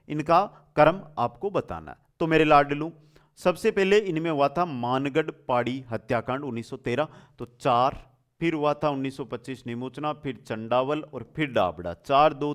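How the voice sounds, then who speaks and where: A man teaching an exam-prep quiz lesson, reading out questions and explaining answers in a familiar, affectionate way with repeated key points. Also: on the high side.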